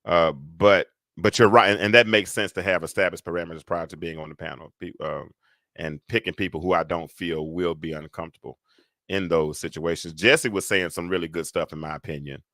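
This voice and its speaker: A man's voice: sits low, steady tone, a little fast, fairly bright tone, no echo, clean studio sound. A man hosting a casual live talk, rambling with lots of fillers and restarts. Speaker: host.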